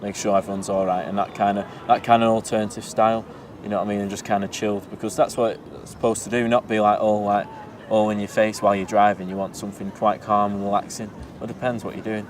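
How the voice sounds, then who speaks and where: A man's voice: lowish voice, level tone, fast talker, recorded from a distance, a little hiss or hum. A young man, a student radio presenter talking casually in an easygoing, rambling way with lots of fillers. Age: young adult. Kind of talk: casual interview talk. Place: outdoors in a city.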